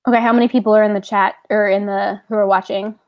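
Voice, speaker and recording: Woman, casual book-club co-host, chatty and relaxed, mid pitch, talking into a home computer mic. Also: fast talker, lo-fi sound. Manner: relaxed, chatty, uses lots of "like". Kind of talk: casual chat.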